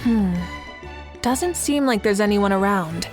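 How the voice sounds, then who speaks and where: A woman's voice: mid-range voice, expressive, slow. A woman voicing a confident, playful female leader who speaks with teasing, easy authority. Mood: calm. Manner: self-assured, teasing, warm authority. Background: game music.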